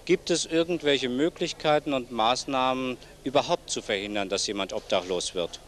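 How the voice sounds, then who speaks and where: A man's voice: mid-range voice, moderate pace. Formal male interviewer, noisy recording. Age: middle-aged. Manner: polite, formal wording. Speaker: host interviewing a guest. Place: seated among plants in a senior citizens' centre. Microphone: handheld microphone. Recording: noisy old TV video sound.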